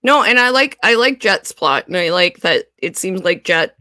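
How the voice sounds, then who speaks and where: A woman co-hosting a podcast, chatting casually about a TV series, animated and laughing often. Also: fairly low pitch, moderate pace, no room sound, studio quality. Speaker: podcast co-host. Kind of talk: casual fan discussion.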